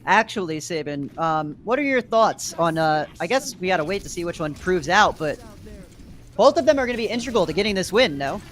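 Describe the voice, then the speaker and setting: A man doing energetic play-by-play commentary for an online esports tournament. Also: sits high, a little fast, slight background noise. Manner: energetic, rises to excited shouts in big plays. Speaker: play-by-play caster.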